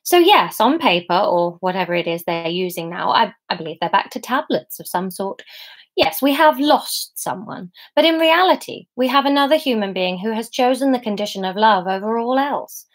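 A woman acts out an all-powerful, genderless God from a comic storybook. The voice is mid-pitched, mild and unbothered, with a serene, lazily amused smugness. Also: animated, normal speed, lightly acted. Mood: assured. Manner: mild, unbothered, simply spoken.

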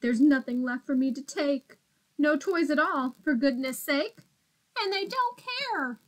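A woman voicing a grabby, bossy young male dinosaur in a children's storybook, with drawn-out, possessive protests. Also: high voice, animated. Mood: wailing, upset. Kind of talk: children's storybook read-aloud.

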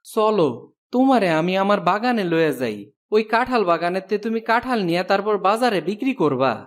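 A man's voice: high-pitched, animated, fairly quick. A man voicing a kind, helpful village woodcutter in a cartoon, earnest and folksy, with a rural Bangladeshi accent. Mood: cheerful. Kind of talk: cartoon storytelling dub. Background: prominent music.

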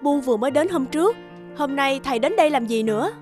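Cold, curt cartoon daughter, brusque, high-pitched, light music behind. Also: female voice, animated, fast, a little mellow. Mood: impatient. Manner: brusque, impatient. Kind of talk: cartoon voice acting.

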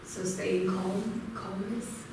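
A teenage girl giving calm, halting answers in a seminar. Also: mid-range voice. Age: teens. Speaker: student. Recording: echoey room from far off, loud noise.

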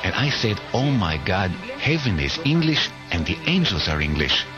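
A man's voice: on the low side, very animated, moderate pace, highs cut off. A man giving a voice-over translation of a first-person account, in a dramatic storytelling style. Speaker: English voice-over translating an interviewee. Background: loud music.

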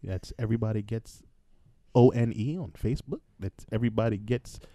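A man's voice: fairly low pitch, brisk, mellow, no room sound. A man hosting a podcast in casual, joking group chat, on a close mic. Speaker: podcast host. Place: small room at home, indoors.

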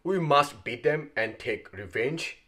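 A man's voice: fairly high pitch, expressive, slow, sharp tone. Casual man reviewing a football match. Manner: earnest, gestures while talking, frequent fillers and restarts. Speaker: football fan channel host. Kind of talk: post-match reaction vlog. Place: indoor room by a window with blinds.

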